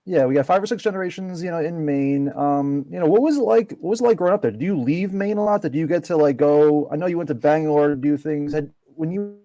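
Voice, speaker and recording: Higher-voiced, mellow man interviewing. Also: fast, few pauses. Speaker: podcast host, interviewer. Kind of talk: casual, halting interview chat.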